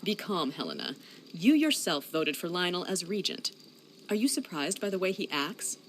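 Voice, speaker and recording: A composed seafaring noblewoman in a fantasy game. The delivery is formal and measured yet melodic, with faint noise and ambience behind it. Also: on the low side, big pitch swings. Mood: cautioning. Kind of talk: fantasy game cutscene acting.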